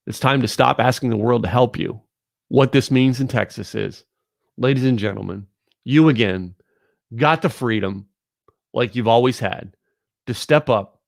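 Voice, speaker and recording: A man's voice: mid-range voice, moderate pace, vocal fry, no room sound, studio quality. A man hosting a podcast, delivering a blunt, emphatic and preachy monologue straight to camera. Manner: blunt, emphatic, preachy, repeats "ladies and gentlemen". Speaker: podcast host. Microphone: recorded on camera.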